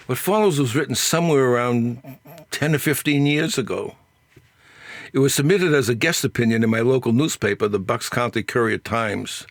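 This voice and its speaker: An older man reading his own opinion essay aloud as its author, in a deliberate, wry storytelling manner with pointed pauses. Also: mid-range voice, no room sound.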